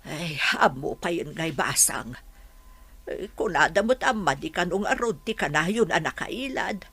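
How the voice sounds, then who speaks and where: Woman acting husky, low-voiced older village auntie. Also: expressive, measured pace, fairly bright tone, full character voice. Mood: worried, matter-of-fact. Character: older village auntie, plain-spoken and caring. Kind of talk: radio drama dialogue.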